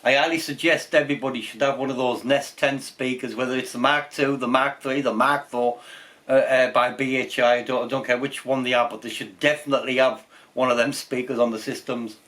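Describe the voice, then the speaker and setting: Male hobby vlogger, medium pitch, loose unscripted delivery that wanders and doubles back. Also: fairly even intonation, fairly quick, fairly bright tone. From England. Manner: rambling, repeats himself. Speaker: amateur radio channel host. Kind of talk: casual unscripted vlog talk.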